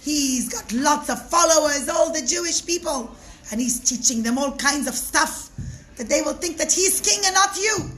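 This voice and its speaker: A woman's voice: high-pitched, lively intonation, moderate pace, fairly bright tone. A woman voicing scheming male informers who spread lies to a king in a children's story. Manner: urgent, tattling. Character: scheming lying informers, men.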